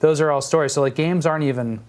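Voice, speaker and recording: Quick, higher-voiced joking man. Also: a little mellow. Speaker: podcast panelist. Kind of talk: casual chat.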